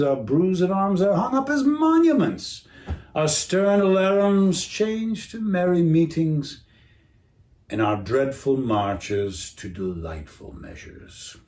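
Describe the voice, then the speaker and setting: A man's voice: on the high side, a little mellow. A man acting a bitter, scheming, misshapen royal villain in a brooding, theatrical Shakespearean stage monologue.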